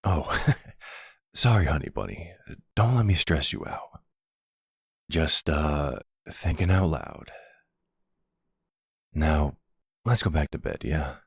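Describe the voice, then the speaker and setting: A man playing a charming middle-aged mobster and remorseful expectant father in an intimate, soft-spoken, affectionate ASMR roleplay. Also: rumbling low, expressive, creaky, husky, no room sound, clean studio sound, heavily acted. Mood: soothing. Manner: soft, soothing, affectionate, unhurried.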